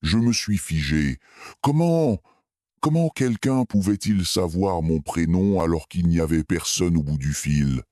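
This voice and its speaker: A man narrating a scripted first-person scary story in a measured, suspenseful way. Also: low-pitched, animated, a little mellow, dry recording, studio quality.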